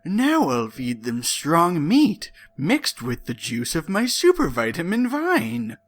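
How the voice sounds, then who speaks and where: A man's voice: fairly high pitch, huge pitch swings, takes it slowly, heavily acted. A man reading as a gloating villain scientist. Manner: grandiose, mock-dramatic reading.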